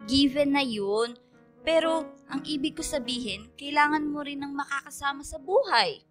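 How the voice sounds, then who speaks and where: A woman's voice: sits high, lively intonation, moderate pace, a bit bright, faint music behind. A woman with a Filipino accent playing a chatty, pushy young best friend and matchmaker in a radio drama. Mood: excited, insistent.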